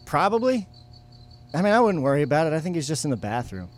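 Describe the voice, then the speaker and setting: A man's voice: a little high, big pitch swings, quick pace. A man playing an easygoing young camp guy in casual audio drama dialogue. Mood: casual, reassuring.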